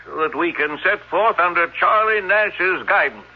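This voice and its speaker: An actor playing an officious, hesitant small-town magistrate in an old-time radio drama. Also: male voice, high voice, expressive, normal speed, loud music behind the voice. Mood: formal, hesitant. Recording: muffled vintage radio recording.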